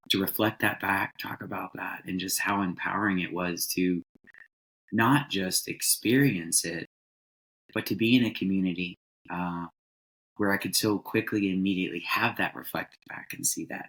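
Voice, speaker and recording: A man on a podcast, speaking calmly and in a measured, affirming way. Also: low-pitched, rough recording. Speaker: podcast co-host. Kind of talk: casual podcast conversation.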